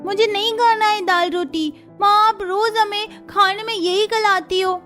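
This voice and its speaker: A woman's voice: very high-pitched, steady tone, a little slow, a bit bright, soft background music. A woman voicing an upset nine-year-old schoolgirl in a cartoon story, complaining and pleading. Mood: fed-up, complaining. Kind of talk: Hindi cartoon story voice acting.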